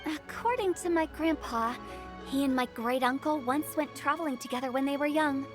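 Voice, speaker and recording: A woman's voice: high-pitched, moderate pace, natural voice. A woman playing a quirky young female funeral director in a video game, with a playful, theatrical storytelling manner. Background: music.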